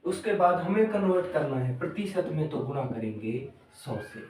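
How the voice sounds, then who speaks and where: A man's voice: a little high, lively intonation, normal speed, darkish tone. A male maths teacher explaining a lesson step by step, repeating each step and asking the children questions. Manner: addresses the pupils as children. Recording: echoey room, voice far from the mic.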